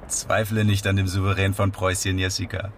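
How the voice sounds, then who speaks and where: An actor playing a smug, pompous male crank mentor who speaks in a calm, preachy, condescending way, heard inside a car. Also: deep voice, normal speed, a little hiss or hum.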